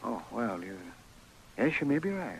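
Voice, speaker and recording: Talkative western doc, American, crusty, lively rising and falling pitch, halting, teasing, slightly hissy old radio recording. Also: male voice. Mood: backing down. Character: crusty, chuckling, talkative male frontier doctor. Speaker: radio drama actor. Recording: noisy vintage radio recording.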